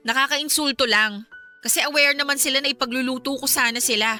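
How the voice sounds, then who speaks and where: A wounded but defiant young wife in a radio drama. Her voice is very high and piercingly bright, full of pleading and indignation. Music plays clearly beneath her. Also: fairly even intonation, fairly quick. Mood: hurt, reproachful. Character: hurt young wife and mother, proud, defiant. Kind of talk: Filipino radio drama acting.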